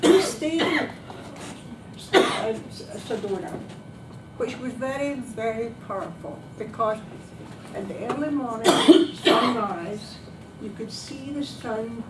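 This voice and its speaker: Woman public speaker, slightly high voice, dramatic storytelling in short emphatic phrases, noisy room recording. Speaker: club speaker giving a prepared speech. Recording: distant, echoey room recording with background noise.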